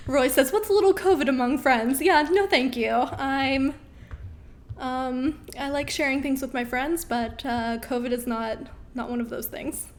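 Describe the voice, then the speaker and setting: A woman in her thirties chats casually through viewer questions on a live stream, upbeat and full of ums. Her voice sits fairly high and is starting to wear out. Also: steady tone, unhurried. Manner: chatty, upbeat, with frequent ums. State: losing her voice.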